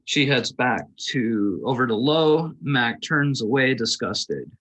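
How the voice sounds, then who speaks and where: A man's voice: mid-range voice, expressive, slow pace, a bit bright, poor audio. A man reading stage directions aloud as scripted narration, now and then stumbling over a word and correcting himself. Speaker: stage directions reader at a table read.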